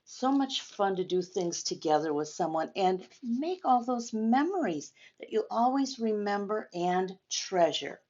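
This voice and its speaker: A woman's voice: medium pitch, lively intonation, a little slow, hardly any pauses, darkish tone, dull audio. An older woman doing a read-aloud story time for children, speaking directly to young listeners and explaining as she goes. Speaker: storyteller reading a picture book to children.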